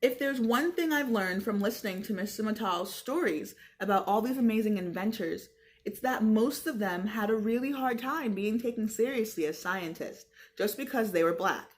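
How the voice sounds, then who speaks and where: American woman reading aloud, chatty teacher. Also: mid-range voice. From New York state, United States. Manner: conversational, chatty, addresses listeners directly. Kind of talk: read-aloud with casual explanatory asides.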